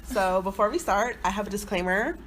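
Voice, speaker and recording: A woman's voice: medium pitch, brisk, a bit bright, slight background noise. A woman in her early twenties from Houston co-hosting a podcast, telling stories casually with slang and lots of "like". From Houston, Texas, not raised there from birth. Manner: informal, slangy, peppered with "like". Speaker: podcast co-host. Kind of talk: casual chatty storytelling.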